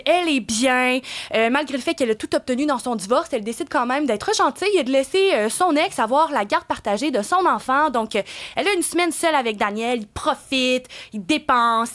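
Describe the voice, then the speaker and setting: A young woman with a Québécois accent tells a true crime story in a radio studio. Her voice sits high. She is playful and lively, and she lowers her voice for the darker details. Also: a little fast. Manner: lively, playful storyteller who drops to a hushed tone for grim details.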